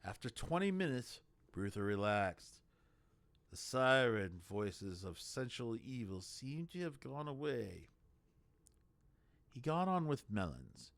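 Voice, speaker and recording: A male audiobook reader telling a story at an unhurried pace, his voice rising and falling expressively. He reads deliberately, now and then stumbling and restarting a word, in a close, dry sound. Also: medium pitch, sing-song, gravelly, no room sound. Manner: deliberate, with small slips and restarts. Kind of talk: storybook narration.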